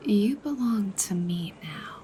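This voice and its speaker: A woman playing a dominant, teasing goddess girlfriend in an ASMR roleplay, soft, breathy and often whispering, coaxing and commanding. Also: medium pitch, animated, slow, slight background noise. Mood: teasing, possessive.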